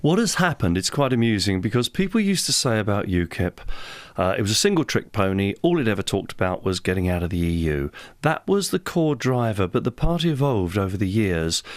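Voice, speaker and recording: A man's voice: mid-range voice, no echo. A man in his sixties with a London accent, speaking emphatically as a political candidate in a radio interview, repeating phrases and asking rhetorical questions. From London area, England. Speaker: parliamentary candidate.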